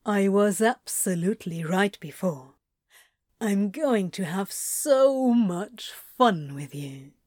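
A woman's voice: medium pitch, expressive, slow pace, darkish tone, no room sound, clean studio sound. A woman playing a mischievous, flirty male yoga instructor in a fan fiction audio drama, with a teasing, murmuring drawl. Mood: seductive.

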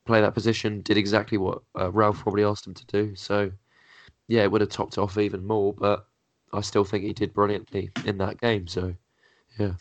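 A young man hosting a casual podcast, a little croaky from being ill, talking in a relaxed way with lots of fillers. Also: fairly low pitch, fairly quick, no echo. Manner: relaxed, filler-heavy, easygoing. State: croaky from illness. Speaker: podcast host. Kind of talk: casual chat. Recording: dull lo-fi audio.

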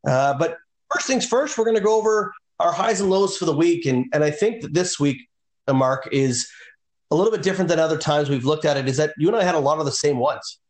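A man's voice: on the high side, expressive, brisk, reverberant. A man co-hosting a casual wrestling podcast, rambling with lots of fillers and restarts. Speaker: podcast co-host. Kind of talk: casual chat.

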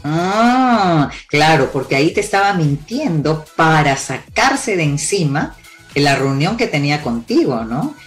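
A woman speaks in a low, deep register. She gives relationship advice with emphatic, sweeping declarations, telling lively stories to make her point over faint background music. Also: measured pace. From born in Cuba, raised in Peru. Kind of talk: confident advice-giving interview talk.

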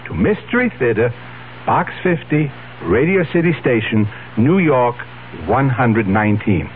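Narrow humming broadcast, male producer, scripted announcement, lively intonation. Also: mid-range voice, measured pace. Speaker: radio producer. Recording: muffled 1970s radio broadcast, faint hum.

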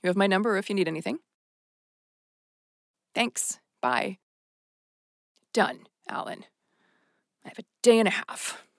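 A determined, embittered policewoman in an audio drama. Her voice is gravelly with fry and recorded very dry. She talks in a naturalistic, salty way, defiant at heart. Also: mid-range voice, a little slow, pauses often, dry recording. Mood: brisk, polite. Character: tough, embittered, determined policewoman on forced leave.

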